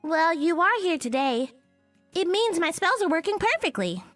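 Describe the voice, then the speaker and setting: Young spellcasting priestess girl for a visual novel, really high lively voice, sure of herself, some music under it. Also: normal speed, full character voice. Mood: confident, insistent. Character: matter-of-fact young priestess girl who casts spells. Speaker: video game voice actor. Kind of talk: visual novel game dialogue.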